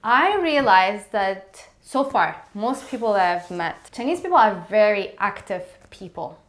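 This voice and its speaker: A woman's voice: medium pitch, expressive, a little slow, a bit bright. A young woman hosting a casual video chat with her boyfriend, leading the questions in a playful, upbeat way. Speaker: vlog host.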